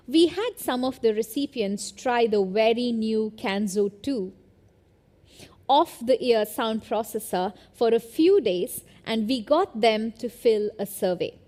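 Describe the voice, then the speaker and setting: A woman with a fairly high voice delivers a scripted promotional presentation. She speaks as a company presenter at a product launch. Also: a little mellow.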